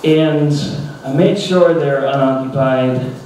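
A man in his thirties doing stand-up, telling a childhood story with animated, ranting bursts into a handheld mic on a small club stage. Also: a little high, slow. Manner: animated, ranting bursts when acting out his younger self. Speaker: stand-up comedian. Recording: roomy club sound from a distant camera. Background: audience laughter and room noise.

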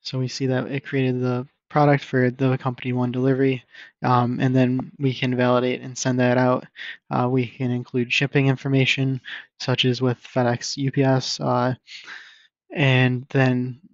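A man presenting a casual screen-share software demo in an informal, explanatory and somewhat hesitant way. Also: medium pitch, completely flat delivery, moderate pace, no echo. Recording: dull lo-fi microphone recording.

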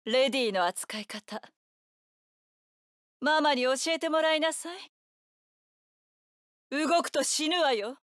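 A cocky seductive witch from a fighting game, really high lively voice, haughty little taunts. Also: no room sound, clean studio sound, heavily acted. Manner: teasing, haughty, short battle cries and taunts. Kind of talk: Japanese fighting game voice acting. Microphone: close, dry studio voice recording.

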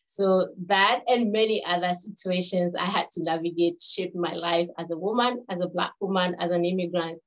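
A woman in her fifties from Ghana, English not her first language, giving a webinar talk in a measured way with pauses and restarts. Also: lowish voice, steady tone. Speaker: health researcher presenting. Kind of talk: webinar presentation. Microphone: video call. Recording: dull lo-fi webinar audio.

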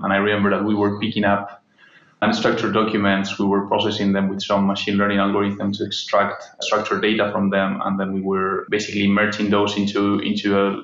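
Flat-voiced man, roomy sound. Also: fairly low pitch. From Spain. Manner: thinking aloud, with fillers. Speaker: analytics engineer guest. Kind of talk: casual interview answers. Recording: roomy, dull remote audio.

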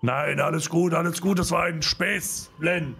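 A man's voice: on the high side, steady tone, unhurried, vocal fry, fairly bright tone, slightly rough. A man playing an online tabletop game, talking in casual, slangy, joking banter. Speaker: player.

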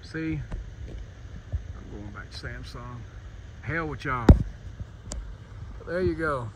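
A man who drives trucks, talking casually to viewers in a folksy, informal way while filming outdoors on a phone. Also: very slow. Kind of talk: casual vlog narration to viewers. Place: outdoors on a roadside at night. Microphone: handheld phone. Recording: clear outdoor vehicle noise behind voice. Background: rumbling truck engine.

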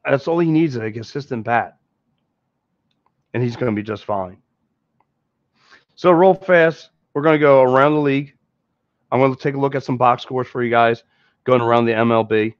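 A man's voice: medium pitch, moderate pace, long pauses, no echo. Man, thirties, Philadelphia accent, rambling sports chat, repeats himself, dry lo-fi headset sound. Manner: rambling, repeats words and restarts. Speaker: podcast host. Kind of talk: casual postgame sports talk. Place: small indoor room in front of a green screen. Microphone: headset mic.

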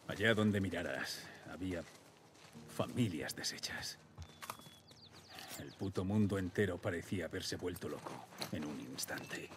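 A guarded, weary middle-aged male survivor in a video game. His voice is fairly low and restrained, with a Castilian Spanish accent. He sounds protective, and there is faint noise behind him. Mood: somber. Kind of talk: dubbed video game dialogue.

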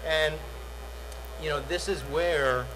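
Male presenter, middle-aged, salesy conference pitch with "uh"s, slightly raised voice, noisy hall recording. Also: fairly even intonation, takes it slowly. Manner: salesy, repeats words, many "uh" fillers. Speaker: product demo presenter. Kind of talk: conference tech demo. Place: large dark conference hall, on stage.

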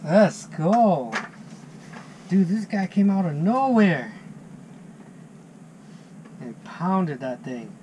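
A man's voice: high voice, animated, drawn-out words, long pauses, mellow, slight background noise. A man in his thirties chatting casually to camera from a small ice fishing shanty, laid-back and chatty, dropping "dude" and "man.". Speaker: fishing vlogger. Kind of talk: casual vlog talk to camera. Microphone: action camera mounted inside the shanty. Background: background music.

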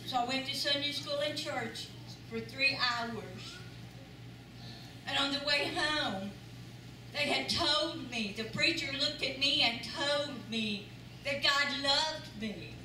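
Older woman, high-pitched, unhurried, emphatic church testimony, captured at a distance in a noisy sanctuary. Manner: earnest, emphatic repetition. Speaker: church member giving testimony. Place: large church sanctuary with audience. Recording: echoey sanctuary, voice from far off, noisy.

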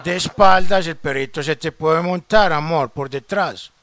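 A Colombian man making a comedic prank call, smooth-talking and full of pet names. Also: on the high side, a little slow. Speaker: prank caller, show host. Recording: very noisy phone-call recording.

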